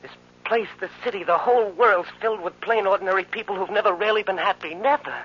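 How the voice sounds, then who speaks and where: A man's voice: high-pitched, fast. An actor playing a tender, resigned young man condemned to death in 1940s radio drama, earnest and emotional, with plain working-man speech. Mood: tender, pleading. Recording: muffled, noisy old radio recording.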